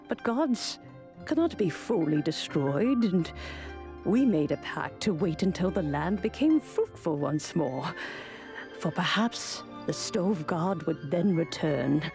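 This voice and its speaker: A woman playing a wise, long-lived female mentor in a video game, speaking in a gentle, measured, formal storytelling way. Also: low-pitched, full character voice. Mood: wistful. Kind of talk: video game character acting. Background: music.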